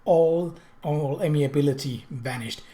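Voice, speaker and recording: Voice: medium pitch, a little slow, mellow. A narrator reading a period mystery novel aloud as a scripted audiobook. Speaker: audiobook reader.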